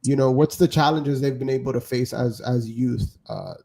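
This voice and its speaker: A man's voice: mid-range voice, steady tone, normal speed, vocal fry, dark tone. A man hosts a casual podcast chat in a mid-range voice. He asks wandering questions padded with fillers. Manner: rambling, filler-heavy questions. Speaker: podcast host.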